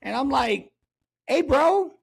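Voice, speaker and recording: A man's voice: extremely high pitch, lively intonation, slow pace, creaky. A man in his forties telling stories in casual, slangy podcast style, emphatically repeating his phrases for effect. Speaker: podcast host, basketball coach.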